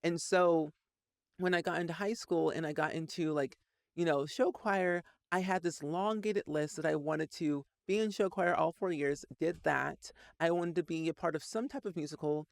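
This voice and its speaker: A man's voice: a little high, moderate pace, creaky, dry recording, clean studio sound. A man in his late twenties telling a personal story in a casual, rambling way, full of "like" fillers. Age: 20s. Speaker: Sims content creator.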